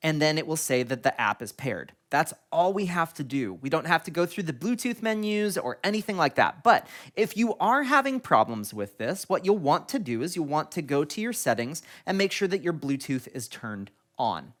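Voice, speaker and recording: Guy doing a how-to video, pitch moves around a lot, explains it step by step. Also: very animated, moderate pace, hardly any pauses, fairly bright tone. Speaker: tutorial video host. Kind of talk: instructional product tutorial.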